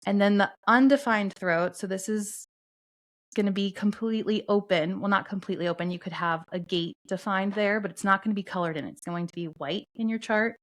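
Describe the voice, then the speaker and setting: A woman co-hosting a podcast, calmly explaining astrology and human design concepts in a steady teaching tone. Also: medium pitch, a little fast. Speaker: podcast co-host.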